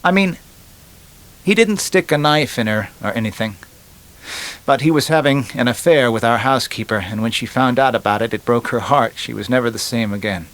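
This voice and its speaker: A man's voice: medium pitch, normal speed, fairly bright tone, natural voice. A man voicing a glib, joking, resentful young American guy in a chatty, punchy storybook manner. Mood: bitter, self-justifying. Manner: chatty, wisecracking, punchy emphasis.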